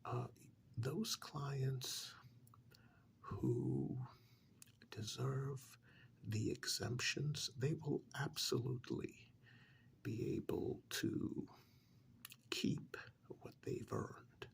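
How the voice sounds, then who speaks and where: A man playing a polite, fussy official in a soft-spoken ASMR role-play, whispering in a formal, hesitant, courteous way. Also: mid-range voice, very slow, pauses often, heavily acted. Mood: officious, apologetic. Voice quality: soft, breathy whisper with gravelly edge. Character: polite, fussy, methodical male tax official auditing non-human clients.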